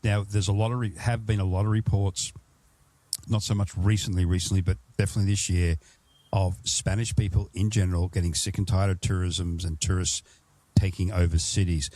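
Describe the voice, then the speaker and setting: Deep-voiced Australian man hosting. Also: steady tone, normal speed, darkish tone, no echo. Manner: rambling, restarts questions. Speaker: podcast host. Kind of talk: casual interview chat.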